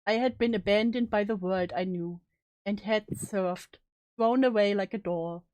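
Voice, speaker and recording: A woman's voice: mid-range voice, measured pace, a little mellow, no room sound, voice pushed down, natural voice, low-quality recording. A woman playing a guilt-ridden, despairing young student in a solemn, melodramatic story reading. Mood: desperate, anguished. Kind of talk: dramatic reading of story narration.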